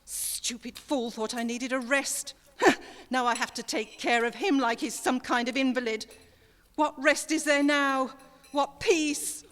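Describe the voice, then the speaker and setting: A woman's voice: sits high, steady tone, a little slow, a bit bright. A woman playing a grieving, resentful mother sliding into madness, with brooding bitterness, in a horror audio drama. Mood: accusing, anguished. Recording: long reverb, faint noise.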